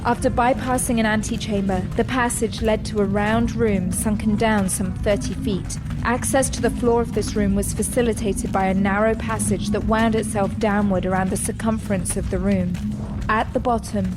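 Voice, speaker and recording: A woman narrating a scripted sci-fi audio drama in a measured, descriptive storytelling manner. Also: medium pitch, steady tone, over loud music, natural voice, rough recording. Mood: composed suspense. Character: composed female storyteller narrating a sci-fi adventure.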